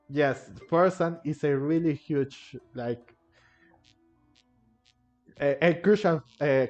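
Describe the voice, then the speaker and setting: A man's voice: medium pitch, darkish tone. Male gamer, muffled microphone, faint background music, casual commentary, hesitant, repetitive phrasing. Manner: hesitant, thinking aloud, repeats himself. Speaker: gaming video creator. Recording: dull, lo-fi microphone. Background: faint game music.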